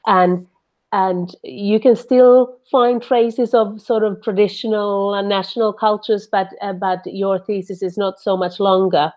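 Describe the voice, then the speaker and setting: A woman with a mid-range voice leads an interview as a professor. She feels her way into her questions, pausing and restarting as she builds them. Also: medium pitch, steady tone, darkish tone. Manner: searching, hesitant phrasing.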